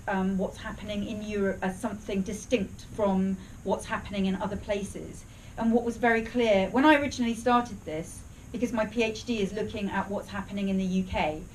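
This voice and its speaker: A woman researcher talks through an answer with many restarts, her voice heard from some distance. Her pitch sits in an ordinary middle range. A faint hiss of the room stays behind her. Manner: thoughtful, frequent restarts and hedges. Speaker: researcher presenting at a briefing. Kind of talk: academic Q&A answer.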